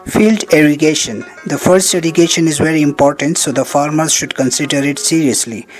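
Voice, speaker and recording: A man's voice: on the high side, fairly quick. A man reading scripted narration for an instructional film in a formal, step-by-step way. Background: background music.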